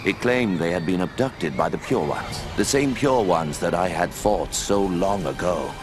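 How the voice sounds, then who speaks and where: An older man playing a wise, gruff old owl mentor in a video game, speaking in a measured, formal way. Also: low voice, lively intonation, music in the background. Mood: solemn storytelling. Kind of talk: scripted dramatic narration and game dialogue.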